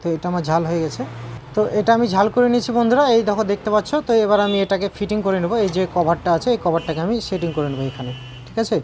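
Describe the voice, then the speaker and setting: A woman narrates a hands-on tutorial at a very rapid clip. Her pitch sits in the middle for a woman. She repeats her points and checks in often with tag questions, over faint background noise. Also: a little mellow. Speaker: repair tutorial presenter.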